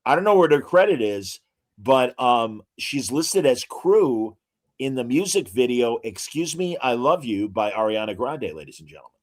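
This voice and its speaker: A man's voice: medium pitch, sing-song. Man hosting with showman asides. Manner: reads out actor credits with frequent "uh" pauses and showman asides. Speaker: podcast co-host. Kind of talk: casual podcast chat.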